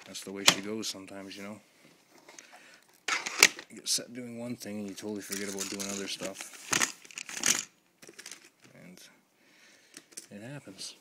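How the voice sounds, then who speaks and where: A man with a hoarse voice talking quietly and rambling with many ums as he lists items for his vlog. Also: lowish voice, heavy background noise. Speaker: video collector host. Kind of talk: casual vlog, listing items.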